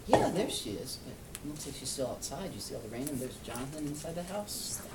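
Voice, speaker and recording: Animated man reading to a child, distant mic. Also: a little high, fast. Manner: explains and asks simple questions for a child. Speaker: read-aloud storyteller to a young child. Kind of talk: interactive picture-book reading. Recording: distant mic, clear background noise.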